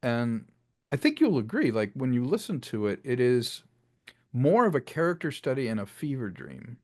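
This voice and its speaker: A man with a mid-pitched voice talks casually in an interview as a filmmaker. He tells his stories conversationally and often checks in with the listener, in a dry sound free of room echo. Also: sing-song, normal speed. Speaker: filmmaker and audio drama creator being interviewed.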